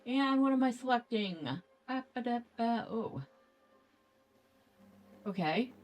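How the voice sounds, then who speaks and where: A woman's voice: a little high, takes it slowly. A woman hosting a casual let's play, thinking aloud playfully as she goes. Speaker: gaming video host.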